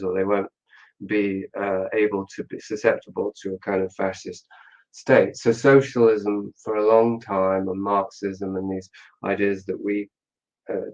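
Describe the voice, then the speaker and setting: A man speaking as an interview guest, analytical and deliberate, often pausing to correct himself, on slightly muffled audio. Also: on the low side, a little mellow. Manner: measured, deliberate, hesitant, self-correcting. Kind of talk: unscripted analytical discussion.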